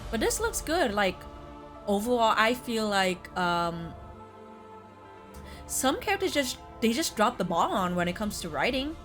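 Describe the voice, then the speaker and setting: Animated, higher-voiced woman chatting about games. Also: measured pace, soft background music. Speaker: host of a gaming tier list stream. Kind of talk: casual gaming discussion.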